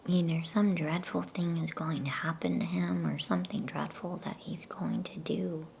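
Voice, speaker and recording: A woman voicing an anxious, tender young girl in a hushed, worried whisper for a storybook reading. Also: on the low side, steady tone, moderate pace, gravelly, slight background noise.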